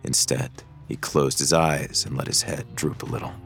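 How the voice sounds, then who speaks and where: A man's voice: rumbling low, steady tone, normal speed, gravelly, music in the background. A man reading thriller prose as an audiobook narrator, in scripted storytelling style.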